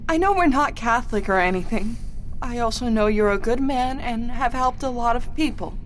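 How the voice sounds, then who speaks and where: A woman playing an earnest, lovestruck young woman in an amateur radio drama, pleading and quick to argue. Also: fairly high pitch, lively intonation, slight background noise, close to an everyday voice.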